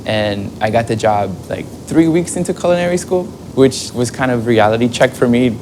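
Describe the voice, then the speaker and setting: A man's voice: mid-range voice, expressive, normal speed, noisy background. A man in his twenties from Brazil, talking candidly and laughing in a documentary interview. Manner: candid, laughing, chatty with fillers. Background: soft music score.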